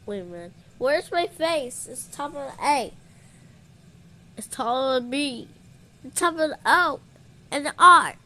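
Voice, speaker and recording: A woman improvising playful puppet show dialogue in an excitable, exclamatory way. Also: high-pitched, a little slow, long pauses.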